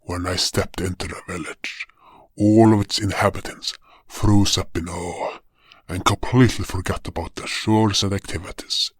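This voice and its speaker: A man's voice: sits low, steady tone, normal speed, husky, dry recording. A man playing a solemn, powerful guardian hero who narrates his journey in a fantasy audio drama. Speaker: narrator and lead actor. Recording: music behind the voice. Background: music.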